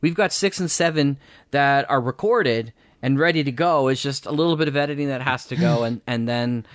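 A man hosts an audio drama show. He chats casually with his co-host at a middling pitch. The sound is dry and close, with little room. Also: normal speed, no room sound. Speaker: radio drama podcast host. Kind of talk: casual co-hosted chat.

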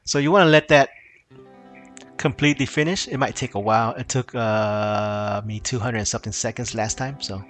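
A man's voice: mid-range voice, normal speed, fairly bright tone, soft background music. Casual man talking through a tech fix. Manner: loose, rambling, many fillers and restarts. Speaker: tech tutorial host. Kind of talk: casual screen-recorded tutorial.

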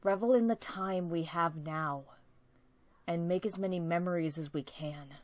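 A woman voicing a cocky, energetic young male cartoon hedgehog, brash, teasing and earnest, in an amateur comic dub. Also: on the low side, measured pace, natural voice. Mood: soft, consoling. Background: background music.

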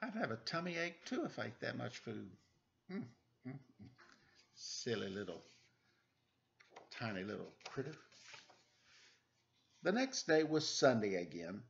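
A man in his forties doing a casual story time, chatting and reading aloud in a playful, expressive way. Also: mid-range voice, unhurried, creaky, long pauses, slight background noise. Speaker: storyteller reading a picture book to children. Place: living room at home. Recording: dull, lo-fi webcam sound.

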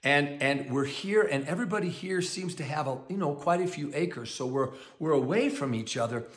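An enthusiastic male preacher teaching outdoors in a meadow, with a loud voice and an easy laugh. His voice sits a little high and swings widely as he talks. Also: moderate pace. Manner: enthusiastic, animated, laughs often; self-described loud voice. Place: outdoors in a rural valley meadow.

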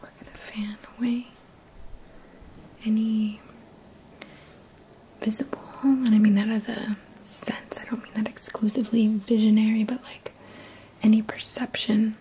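A woman in her thirties whispers gentle, soothing affirmations as an ASMR energy healer, in a soft, breathy voice close to the mic. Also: fairly high pitch, little pitch movement, a little hiss or hum, rough recording. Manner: gentle, slow, deliberate phrasing with pauses. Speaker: ASMR energy healing practitioner. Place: small dim indoor room. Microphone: close mic, seated near the camera.

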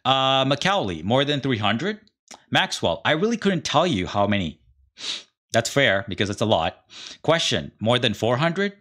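A middle-aged man reads a court transcript aloud and breaks in with sarcastic commentary. His delivery is indignant and blunt, at an everyday pitch for a man. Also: very animated, moderate pace, fairly bright tone. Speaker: online commentator, show host. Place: indoor room in front of a green screen.

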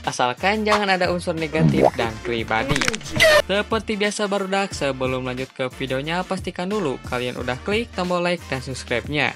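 A man's voice: on the high side, animated, a little fast. A young man narrating a tutorial in an informal, chatty, slangy way as a YouTube host. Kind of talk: casual screen-recording tutorial narration. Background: background music.

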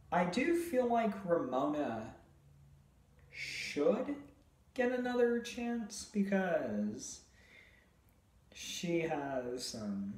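A young man talks into his phone at arm's length in a hotel room, his voice hoarse from a sore throat. He speaks very slowly, stopping and restarting with many fillers. The small room adds a clear echo around him. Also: medium pitch, darkish tone. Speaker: video host. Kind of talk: casual vlog chat. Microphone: phone camera at arm's length.

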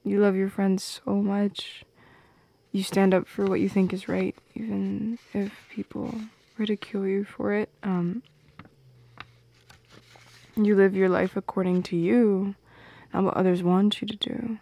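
A young woman playing a shy, teasing teenage goth girl in an intimate ASMR roleplay, speaking softly and hesitantly, often slipping into a whisper. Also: medium pitch, flat delivery. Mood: tender, nervous. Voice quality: soft and breathy, slipping into whispers. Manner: hesitant, teasing, halting with small laughs. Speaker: audio roleplay performer. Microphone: close microphone in a dry space.

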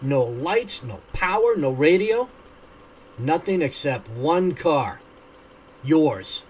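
An actor playing a suspicious suburban neighbor in a radio play, insistent, confrontational and accusing. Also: male voice, on the high side, animated, slow pace, a little mellow, husky, full character voice.

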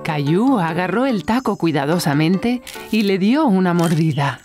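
Una mujer que narra una historia infantil con guion, como cuentacuentos. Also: female voice, fairly low pitch, expressive, normal speed, darkish tone, faint music behind. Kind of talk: scripted children's storytelling narration.

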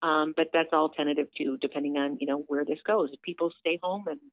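A woman's voice: fairly even intonation, fast, no room sound. Chatty woman on a tinny phone line. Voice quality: low voice for a woman. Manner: chatty, many fillers, upbeat. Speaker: theater director calling in. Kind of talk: casual phone interview. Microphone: telephone.

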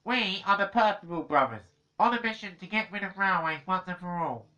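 Figure: a scheming railway-hating villain, as a male narrator puts him on. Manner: cold, curt, sneering. Tone: sharp. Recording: dull, muffled lo-fi. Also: high-pitched, lively intonation, a little fast, voice pushed up.

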